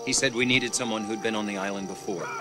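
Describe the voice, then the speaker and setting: A man acts an earnest suburban dad in an animated film, with a fairly low voice. He sounds sheepish, anxious and a little hesitant, with clear music behind him. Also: quick pace, lightly acted. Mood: defensive. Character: anxious, earnest suburban father and small businessman. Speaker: film character. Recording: film audio under music.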